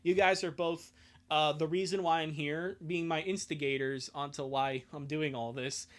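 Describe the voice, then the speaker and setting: A man's voice: on the high side, steady tone, moderate pace, a bit bright. A man hosting a live stream with casual commentary and reading, sarcastic, exasperated and prone to swearing.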